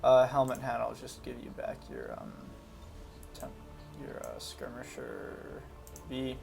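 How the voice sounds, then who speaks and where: A man's voice: medium pitch, sing-song, slow pace, gravelly, music in the background. A man giving casual, unscripted commentary on a video game, talking informally and hesitantly as he thinks aloud. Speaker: gaming video host.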